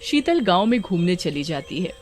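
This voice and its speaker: A woman narrating a scripted children's animated story as the storyteller. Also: fairly low pitch, normal speed, soft background music.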